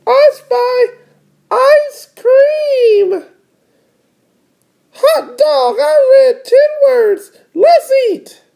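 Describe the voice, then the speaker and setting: Man putting on a squeaky, bratty little girl. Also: drawn-out words, gravelly, pauses often, warm tone, heavily acted. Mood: boastful. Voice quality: squeaky falsetto. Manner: boastful, insistent. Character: bratty, cocky little girl, know-it-all younger sister. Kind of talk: children's picture book read-aloud.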